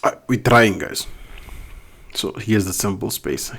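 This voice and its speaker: Accented male math tutor, low gravelly voice, walks through each step and quizzes himself aloud. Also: expressive, measured pace, fairly bright tone. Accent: non-native English accent. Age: adult, not young. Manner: explains step by step, asks questions then answers them. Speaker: math teacher. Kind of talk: recorded math lesson.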